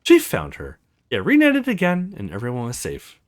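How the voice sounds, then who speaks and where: A woman playing a kind, gentle and reassuring young witch in a visual novel game, over music. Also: medium pitch, wildly swooping pitch, moderate pace, pitched below the performer's own voice.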